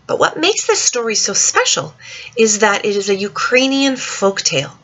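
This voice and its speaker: A woman reads a children's storybook aloud in an expressive, dramatic way, speaking directly to young listeners, with a husky voice. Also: medium pitch, normal speed. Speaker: storyteller reading a picture book to children.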